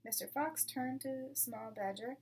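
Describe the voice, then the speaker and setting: A woman's voice: sits high, a little slow, a little mellow. A woman reading a children's book aloud as the narrator. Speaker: storybook reader. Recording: distant mic with clear background noise.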